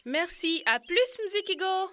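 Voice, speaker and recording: A woman's voice: very high-pitched, big pitch swings, slow pace, full character voice. A woman voicing a cheerful, playful young village girl in an educational cartoon. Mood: cheerful, eager. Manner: playful, giggly, teasing. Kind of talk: animated educational cartoon dialogue.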